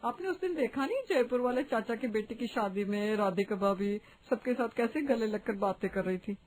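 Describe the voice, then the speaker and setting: A disapproving, gossipy married lady acted by a woman in a moral-story cartoon. Her high voice is prim and aggrieved. She laments dramatically, stretching words to show how shocked she is. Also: normal speed, a little mellow, close to an everyday voice, rough recording.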